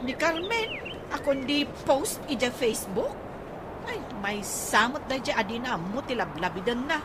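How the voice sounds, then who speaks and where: Woman acting a high, swooping, indignant nagging wife. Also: big pitch swings, moderate pace. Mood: scornful. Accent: Ilocano. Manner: exclaiming, indignant. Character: agitated nagging wife riled by family inheritance quarrel. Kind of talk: Ilocano radio comedy drama acting. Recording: noisy outdoor ambience with birdsong.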